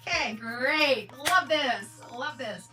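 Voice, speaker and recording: A woman's voice: high voice, takes it slowly, pushed and bright. An American woman hosting an online channel, talking casually to viewers in a rambling, emphatic way that repeats phrases. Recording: distant mic, music behind.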